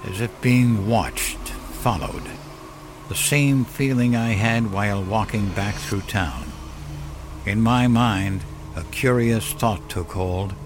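A man narrating a scripted first-person horror story as a weary, wistful old man, in a measured, solemn and dramatic way. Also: lowish voice, noisy background. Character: weary, wistful elderly man telling his ghostly tale. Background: music under the voice.

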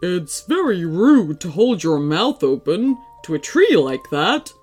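A jolly talking Christmas tree from a storybook, put on by a woman. It speaks heartily and playfully, swooping proudly through slow, savoured phrases. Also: fairly low pitch, sing-song, darkish tone, slightly rough, soft background music, heavily acted. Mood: playfully indignant.

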